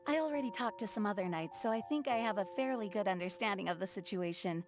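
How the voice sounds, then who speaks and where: A woman's voice: mid-range voice, fast talker, music in the background, natural voice. A woman playing an earnest, courteous knight commander in a video game, formal and composed. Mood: sincere. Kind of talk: video game character acting.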